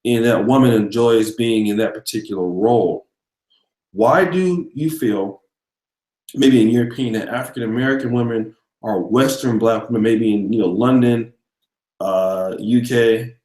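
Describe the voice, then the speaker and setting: A man hosting a casual video interview, asking long questions with many pauses and fillers. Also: medium pitch, darkish tone, roomy sound. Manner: thanks viewers mid-question, hesitant fillers. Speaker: host.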